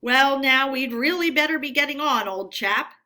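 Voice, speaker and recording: A woman voicing a kindly, resolute male rat in a storybook, with a chummy, old-fashioned gentlemanly manner. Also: high voice, pitched above the performer's own voice. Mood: brisk, pleasant. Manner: brisk, reassuring, old-fashioned chummy talk.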